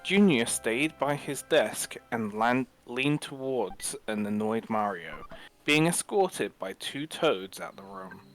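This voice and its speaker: A man's voice: mid-range voice, expressive, takes it slowly, faint music behind. A man reading scripted story narration aloud on a livestream, as the narrator of a group reading.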